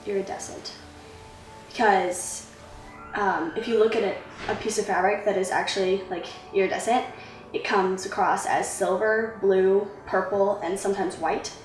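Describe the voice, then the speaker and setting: A young woman student reflecting casually in an interview, speaking informally with plenty of "like". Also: medium pitch. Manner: informal, with frequent "like". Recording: roomy, distant mic, faint music.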